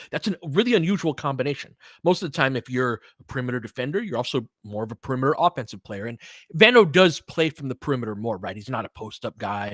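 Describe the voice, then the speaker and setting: A man's voice: mid-range voice, dry recording, clean studio sound. A man hosting a sports stream in a casual, conversational way, thinking aloud and qualifying his opinions as he answers listeners. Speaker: sports livestream host.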